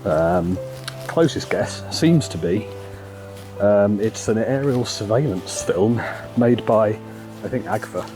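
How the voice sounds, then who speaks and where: Guy with a bit of a croaky cold voice, lowish, rambling with lots of ums out in the woods, music going loud behind. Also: takes it slowly, poor audio. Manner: relaxed, hesitant, many ums. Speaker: photography vlogger. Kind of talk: casual walk-and-talk narration. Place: outdoors in a forest.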